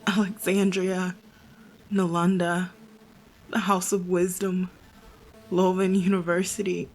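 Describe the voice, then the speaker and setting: An actress playing a bookish, guilt-ridden young woman in an audio drama, halting and hushed as she confesses her doubts. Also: fairly low pitch, level tone. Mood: haunted, resolute. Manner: halting, reflective, self-doubting.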